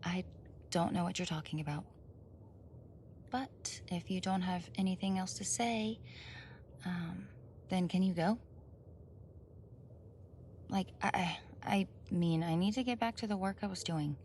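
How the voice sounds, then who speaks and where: A woman's voice: lowish voice, measured pace, long pauses, darkish tone, slight background noise. A woman acting a flustered, defensive young roommate caught off guard in an ASMR roleplay, stammering, indignant and evasive. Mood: nervous, evasive. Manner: stammering, indignant, evasive, sighs and nervous laughs.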